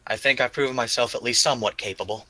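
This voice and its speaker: A man's voice: mid-range voice, fairly even intonation, fast talker, bright, forceful tone, dry recording. A man voicing a confident, plain-spoken young fighter hungry for battle in a tabletop game. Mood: bold, frank.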